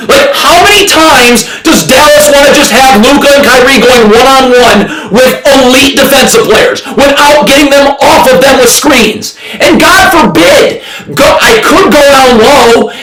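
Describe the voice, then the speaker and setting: A man doing live basketball play-by-play reactions on a stream, exasperated and blunt, often raising his voice and repeating himself. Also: very high-pitched. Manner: exasperated, ranting, often shouting. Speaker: livestream sports commentator. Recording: roomy echo, distant from mic.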